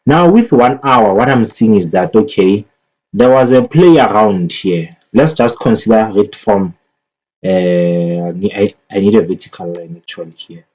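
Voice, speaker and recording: A man with a fairly low, mellow voice talks through an analysis in a casual, unscripted way. He takes his time, hesitating and thinking aloud, on a slightly dull, lo-fi recording. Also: unhurried. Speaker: market analyst presenting chart analysis. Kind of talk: casual unscripted screen-share commentary. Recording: dull lo-fi microphone sound.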